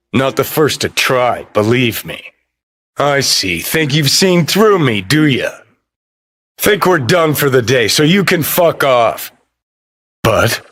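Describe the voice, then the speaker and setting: A man's voice: mid-range voice, fairly bright tone. A male actor playing a cynical, hot-tempered rebel rocker in a cyberpunk video game, with a terse, sardonic, swaggering delivery. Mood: mocking, defiant.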